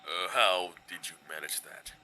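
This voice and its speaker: A man in a fan audio drama playing a gentle, weary, laid-back male skeleton, speaking in a hesitant, casual, understated way. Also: low-pitched, measured pace, slight background noise, heavily acted. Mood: dry, bemused.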